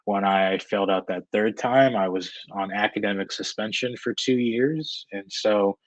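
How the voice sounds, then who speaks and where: A man's voice: on the low side, normal speed, dry recording, lo-fi sound. An American man in his thirties giving casual, candid interview answers as a guest, with a hesitant, reflective delivery. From Georgia, United States. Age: 30s. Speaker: guest, freelance screenwriter and director.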